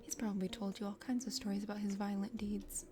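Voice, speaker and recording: A woman's voice: medium pitch, flat delivery, fast, voice pushed down, natural voice. Woman voicing a prim, condescending whispering dragon lady. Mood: proud, chatty. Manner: formal, prattling, condescending. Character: prim, chatty, condescending dragon sister of a forest kingdom. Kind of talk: soft whispered ASMR character reading. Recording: music behind the voice.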